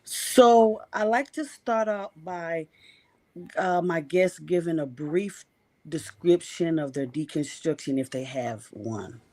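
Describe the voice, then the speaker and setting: A woman's voice: sits low, slow, mellow. A woman hosting an interview show, friendly and welcoming as she opens the chat. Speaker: podcast host.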